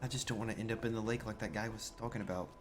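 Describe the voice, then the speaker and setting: Very low-voiced man musing through game roleplay. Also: steady tone, racing, background noise. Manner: casual, musing aloud. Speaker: player role-playing his game character. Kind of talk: in-character video game roleplay commentary. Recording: game music behind the voice. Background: game music.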